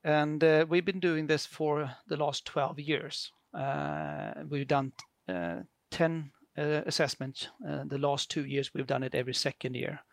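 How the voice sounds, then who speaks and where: Swedish guy explaining stuff, raised pitch, lots of uhs, dry sound. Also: measured pace, creaky, no echo. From Sweden. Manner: hesitant, many fillers and restarts. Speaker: guest. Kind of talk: conversational explanation.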